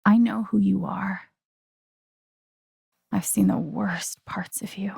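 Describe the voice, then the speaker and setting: An earnest, wounded young woman in a dramatic video game. Her mid-pitched voice is breathy, often close to a whisper, with a gravelly creak at the edges. She speaks very slowly and deliberately, giving each phrase quiet conviction and emotional weight. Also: no echo, heavily acted. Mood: cold, condemning.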